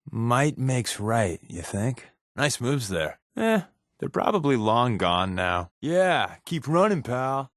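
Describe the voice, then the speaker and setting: A man's voice: medium pitch, dry recording, clean studio sound. An actor playing a wry, wisecracking male spy companion in a post-apocalyptic video game, talking in flippant, sardonic, conversational quips. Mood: dry, flippant.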